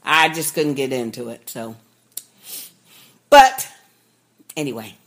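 Slow-talking animated woman podcast co-host. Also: very deep voice. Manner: lively, emphatic, quick to laugh and react. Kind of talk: casual chat.

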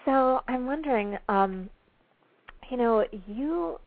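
A woman's voice: on the high side, expressive, takes it slowly, no room sound. A woman hosting a talk show, interviewing a guest with friendly, personal questions. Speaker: show host. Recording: tinny dry phone line.

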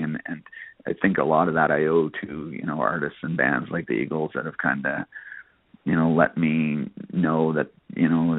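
A man's voice: rumbling low, no echo. A man giving casual, conversational interview answers with frequent verbal hedging, as a guest musician. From Nova Scotia, Canada. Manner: hesitant, conversational, peppered with "you know". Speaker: interview guest, country singer and guitarist. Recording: narrowband phone-like radio line.

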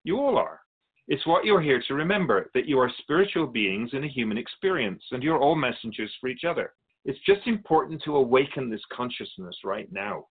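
An actor playing a calm, wise, otherworldly keeper of souls who teaches in a measured, explanatory way as a guest in a radio drama. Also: male voice, medium pitch, slightly rough, narrow, tinny audio. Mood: gentle, instructive.

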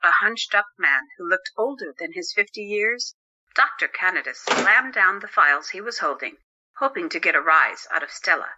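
A woman's voice: fairly low pitch, animated, normal speed, pushed and bright, husky, dull audio. A woman narrating a scripted dramatic story as an audio drama narrator. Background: music.

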